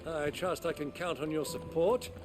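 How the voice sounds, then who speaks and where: An actor playing a male video game character who arranges a secret meeting in a smooth, conspiratorial way. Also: a little high, fairly even intonation, normal speed, over music, natural voice.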